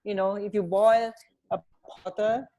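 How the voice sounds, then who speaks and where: A middle-aged woman co-hosting a casual chat on a video call, speaking in a halting, informal way. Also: mid-range voice, fairly even intonation, dark tone. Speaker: radio co-host. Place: indoor room at home, on a video call. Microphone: earphone headset on video call.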